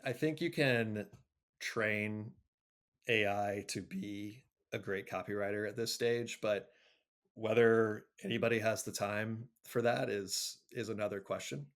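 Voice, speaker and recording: A man answering interview questions conversationally, thinking aloud in a measured way with frequent pauses. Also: fairly low pitch, steady tone. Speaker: interview guest, marketing agency executive.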